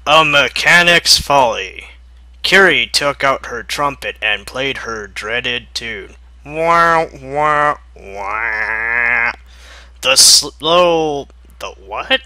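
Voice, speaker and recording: A man's voice: medium pitch, expressive, very slow, pushed and bright, no echo. A man reading a story aloud for comedy, theatrical in delivery, with exasperated asides. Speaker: comedic fan fiction reader.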